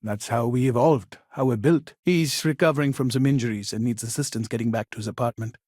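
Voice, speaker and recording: A man's voice: medium pitch, brisk, a little mellow, no echo, close to an everyday voice. Actor as earnest, patient male counselor, dry close sound. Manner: reasoned, explanatory, reassuring. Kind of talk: video game dialogue, measured and conversational.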